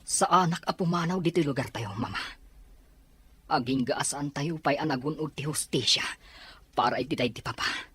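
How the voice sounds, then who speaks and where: A man's voice: a little high, moderate pace, long pauses, fairly bright tone, slightly rough. A man with an Ilocano accent playing a determined young son bent on avenging his father in an audio drama. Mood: resolute. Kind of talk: Ilocano radio-style drama acting.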